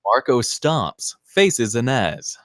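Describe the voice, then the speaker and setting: A male narrator reads screenplay action in a scripted style. His pitch is medium and moves around in a lively way. The recording is dry, with little room sound. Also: takes it slowly, dry recording. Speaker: narrator reading stage directions.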